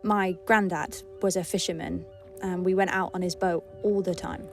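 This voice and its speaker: A woman's voice: on the low side, steady tone, moderate pace, over music. A woman sharing personal memories in an earnest, conversational, emphatic way, reflecting as she speaks.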